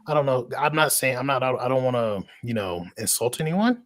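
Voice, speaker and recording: A man's voice: medium pitch, animated, fairly quick, a loud crowd. A man co-hosting a podcast in casual chat, rambling with frequent restarts and laughter. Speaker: podcast co-host.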